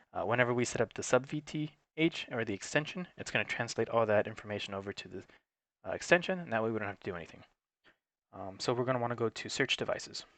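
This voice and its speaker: Low-voiced man walking through a technical tutorial. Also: a bit bright, no echo. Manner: matter-of-fact, instructional. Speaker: technical support presenter. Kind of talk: unscripted step-by-step tutorial narration.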